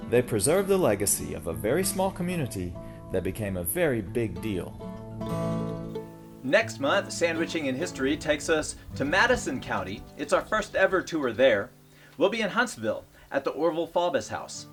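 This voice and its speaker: With plucked guitar clearly playing underneath, a man narrates a history tour from a script. His medium-pitched voice rises and falls in a lively, animated way as he hosts. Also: moderate pace.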